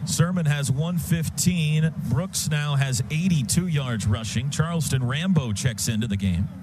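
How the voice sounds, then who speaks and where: An American man calling live football on the radio in clipped phrases, lifting with excitement as plays open up. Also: a little high, measured pace. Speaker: radio play-by-play announcer. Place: stadium radio booth. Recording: loud stadium noise behind broadcast booth. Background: loud outdoor stadium ambience.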